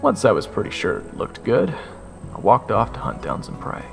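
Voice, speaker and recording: A young man narrates an audio drama in the first person with a sardonic, expressive delivery. His voice is gravelly and lower than average, with a lively swing in pitch. Soft music plays underneath. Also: normal speed, big-room sound. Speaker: narrator and lead voice actor.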